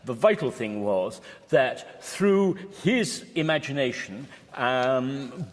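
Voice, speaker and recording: A man speaking as a historian on a panel, explaining in a measured, hesitant way. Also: medium pitch. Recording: reverberant hall.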